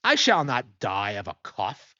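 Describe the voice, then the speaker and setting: Man playing a tipsy, excitable proud wine connoisseur, voice swooping. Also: on the low side, measured pace, muffled recording, exaggerated voice. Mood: stubborn, dismissive. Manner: exclamatory, boastful, dismissive.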